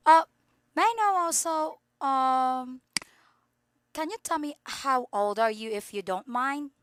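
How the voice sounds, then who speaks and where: A woman's voice: high voice, takes it slowly, fairly bright tone, a little hiss or hum. A woman teaching English in an online lesson, asking polite questions patiently over a video call.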